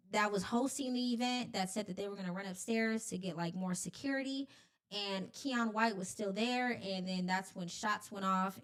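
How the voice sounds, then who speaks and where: A woman with an American accent co-hosts a casual talk show, speaking in a slangy, insistent way peppered with "like". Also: medium pitch. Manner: slangy, frequently says "like", insistent.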